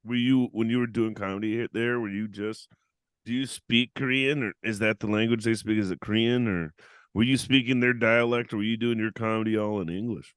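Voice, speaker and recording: A man's voice: lowish voice, steady tone, a little fast, smooth, no echo. A man hosting a casual podcast, joking around, teasing his guest with crude insults and laughing often. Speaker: podcast host.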